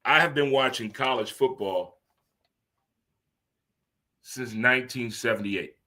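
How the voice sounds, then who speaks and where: A man in his fifties hosting a livestream sports talk show, rambling and animated, teasing himself and asking "huh?". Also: mid-range voice, takes it slowly. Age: 50s. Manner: talks to himself by name. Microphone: streaming studio mic.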